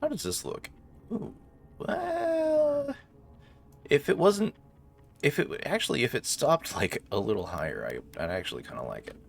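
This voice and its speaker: A man's voice: fairly high pitch, huge pitch swings, moderate pace, creaky, faint music behind. A man giving casual, sardonic, rambling gameplay commentary, with some swearing. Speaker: gaming video host.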